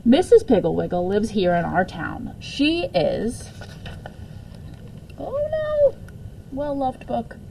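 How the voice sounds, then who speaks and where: A woman reading a children's book aloud as a storyteller, expressively and deliberately, with occasional stumbles and self-corrections. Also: fairly high pitch, very animated, gravelly, mellow, a little hiss or hum. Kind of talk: read-aloud children's story with casual asides.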